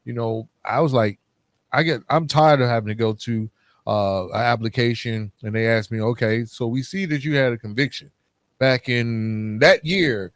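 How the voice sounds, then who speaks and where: A man's voice: mid-range voice, normal speed, no room sound. A man speaking as a podcast host in a casual, candid, colloquial way, reflecting on personal experience.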